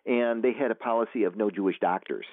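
A man's voice: lowish voice, quick pace, no echo. A man, an author being interviewed, talking casually and rambling with many fillers and restarts. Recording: tinny phone line.

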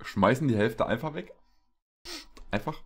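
A young man hosting a reaction video, rambling casually in a halting, repetitive, jokey way. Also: mid-range voice, animated, fairly bright tone, husky. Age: young adult. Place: small indoor room with shelves.

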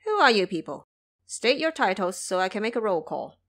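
A woman's voice: mid-range voice, very animated, normal speed, fairly bright tone. A woman voicing a boastful, hot-tempered male monkey disciple in an audio drama, brash and impatient. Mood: brusque.

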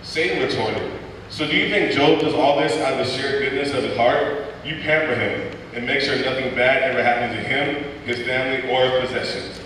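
A young man narrates a church skit in a steady, storytelling read-aloud manner. His voice carries through a large sanctuary with long, booming reverb. He sounds distant, picked up from across the hall with faint room noise. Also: fairly quick. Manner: steady storytelling read-aloud, voicing quoted lines plainly. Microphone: stand mic at pulpit, heard from far off. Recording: echoey church hall from far off.

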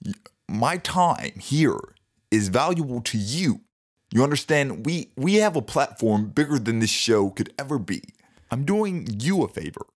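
A man acts out a haughty guest on a comedy radio show. He is condescending and easily offended, stressing his words in a mid-pitched voice. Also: very animated, unhurried. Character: haughty, self-important male podcast guest, easily offended.

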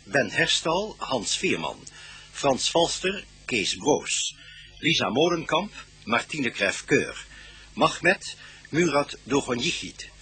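A man's voice: mid-range voice, very animated, slow pace, sharp tone, faint music behind. A male radio announcer giving a formal, scripted reading of programme credits.

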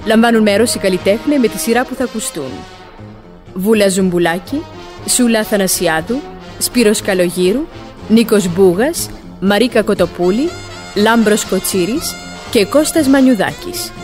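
A woman's voice: mid-range voice, darkish tone. Female radio announcer over faint music. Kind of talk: formal scripted credits announcement.

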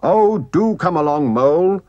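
A man voicing a cheerful, kindly male rat in a storybook, hearty and chummy with a brisk, reassuring way of talking. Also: fairly high pitch, animated, darkish tone, dull audio, voice pushed up. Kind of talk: storybook character reading.

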